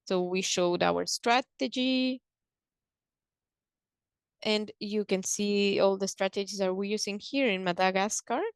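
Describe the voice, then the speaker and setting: A woman presenting and explaining in a measured, halting way, pausing between short phrases. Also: mid-range voice, fairly even intonation, clear, resonant tone, no room sound, studio quality. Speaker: presenter on a conference call.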